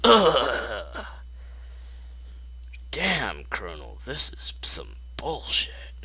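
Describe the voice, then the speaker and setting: A man's voice: medium pitch, huge pitch swings, drawn-out words, bright, forceful tone, husky. A man narrating fan fiction in a mocking style, with sarcastic, exasperated asides.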